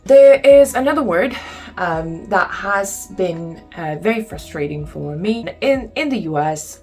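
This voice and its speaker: A woman's voice: fairly low pitch, a little mellow. A young woman from Lithuania with a Lithuanian accent, chatting casually to camera in a home vlog, with lots of ums and exasperated asides. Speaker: vlogger. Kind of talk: casual talking-head vlog.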